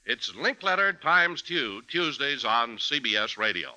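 A man's voice: medium pitch, very animated, unhurried, pushed and bright, rough recording. A man announcing in polished, punchy 1950s radio promo style.

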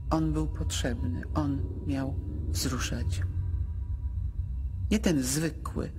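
An actress playing a weary, bitter museum guide at breaking point in a radio play, halting and deliberate. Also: female voice, very deep voice, steady tone, exaggerated voice. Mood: bitter, intense. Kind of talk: radio drama acting. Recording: music under the voice. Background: music.

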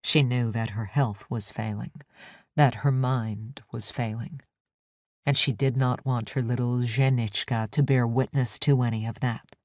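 A woman reading an audiobook in an even, measured storytelling manner. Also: very deep voice, no room sound. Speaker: audiobook narrator. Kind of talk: scripted narration. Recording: dry studio audiobook recording.

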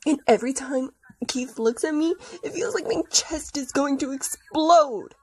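A woman's voice: high-pitched, measured pace, no room sound, voice pushed up. A woman voicing a lovesick, melodramatic young man in a fan comic dub. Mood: distressed, panicky.

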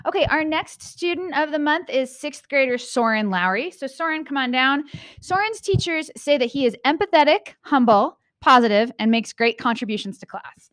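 A woman's voice: high-pitched, lively intonation, moderate pace, fairly bright tone, no room sound. Friendly woman presenting school awards. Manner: friendly, with fillers. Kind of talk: school announcements. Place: small school studio, indoors. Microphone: handheld mic.

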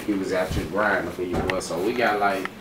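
A man's voice: low-pitched, steady tone, brisk, far from the mic. A young man with a Southern US accent, a rapper guest chatting on a small radio show, laid-back and full of slang. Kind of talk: casual radio interview. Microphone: studio mic on boom, recorded from across the room. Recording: echoey room, voice off-mic, noisy.

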